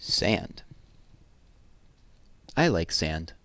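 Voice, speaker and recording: A man's voice: deep voice, very animated, extremely slow, fairly bright tone, no room sound. A man hosting a read-aloud, reading children's poems expressively and explaining things simply to young listeners.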